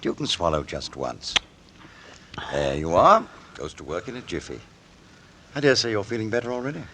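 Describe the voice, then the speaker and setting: An actor playing a brisk, soothing family doctor in a 1940s radio drama, with a coaxing manner. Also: male voice, on the low side, sing-song, fairly bright tone. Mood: coaxing, businesslike. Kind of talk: 1940s radio suspense acting. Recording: noisy vintage radio recording.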